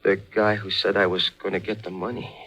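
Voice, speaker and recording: A man's voice: sits low, little pitch movement, brisk, a bit bright, highs cut off. An actor playing a jumpy, suspicious gangster in a 1940s radio thriller, with a hard-edged voice and clipped tough-guy talk. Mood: agitated, suspicious. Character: jumpy, suspicious gangster paranoid about being killed. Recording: vintage 1940s radio broadcast.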